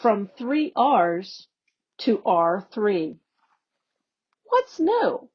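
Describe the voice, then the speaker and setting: A woman educator reading a children's newsletter aloud in a slow, deliberate, teacherly way. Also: mid-range voice, huge pitch swings, slightly rough. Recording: dull, lo-fi recording.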